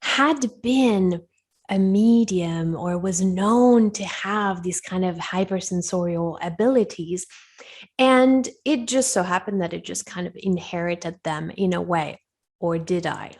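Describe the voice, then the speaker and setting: A woman with a Spanish and French influenced accent, explaining things reflectively as an interview guest and pausing for words. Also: lowish voice, darkish tone. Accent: foreign, Spanish and French influenced. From Colombia and Switzerland. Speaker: guest, wellness coach. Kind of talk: conversational interview answers.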